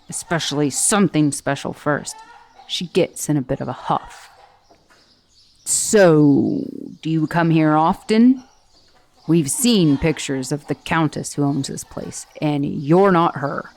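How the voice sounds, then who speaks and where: A brawny female titan warrior head, as a woman puts her on, bragging and teasing in an easygoing ASMR roleplay. Her voice sits on the low side and she takes her time with each line. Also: expressive, a little slow, darkish tone.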